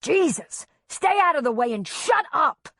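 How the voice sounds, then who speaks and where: A man's voice: high-pitched, animated, drawn-out words, a little mellow, slightly rough, no room sound, clean studio sound, exaggerated voice. A woman narrator voicing a violent, hot-tempered husband who barks and bellows his commands in an audiobook story. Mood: harsh, shouting.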